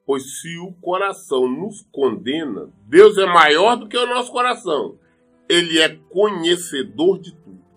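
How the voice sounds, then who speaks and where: Male preacher, Brazilian accent, high voice, earnest and emphatic, faint music. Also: big pitch swings, a little slow, fairly bright tone, breathy edge. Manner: earnest, admonishing; emphatic, repeats phrases for stress. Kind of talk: sermon with scripture reading.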